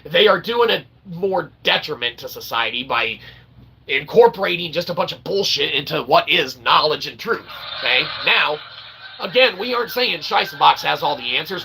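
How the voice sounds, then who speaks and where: Gravelly, emphatic man ranting. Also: a little high, poor audio. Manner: emphatic, repeats "okay?" constantly; rants with bursts of shouting and laughter. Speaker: channel host. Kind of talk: rambling livestream monologue.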